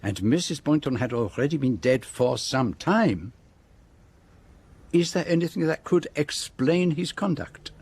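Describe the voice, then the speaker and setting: An actor playing a self-assured detective in a radio drama, speaking in a deliberate, formal and precise way as he lays out a case. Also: a little high, lively intonation, gravelly, darkish tone, husky, exaggerated voice. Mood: accusing, deliberate. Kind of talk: radio drama acting, monologue.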